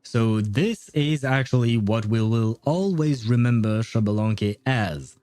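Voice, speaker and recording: A man livestreaming a video game, chatting casually with viewers in a rambling, halting way. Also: mid-range voice, dark tone, no echo. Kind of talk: casual livestream chat and commentary.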